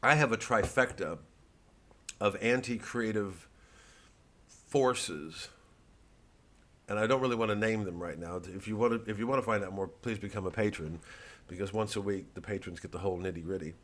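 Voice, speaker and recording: Low-voiced man rambling haltingly to his audience. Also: a bit bright. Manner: halting, digressive, self-deprecating. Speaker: comedian. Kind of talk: rambling casual monologue to an online audience.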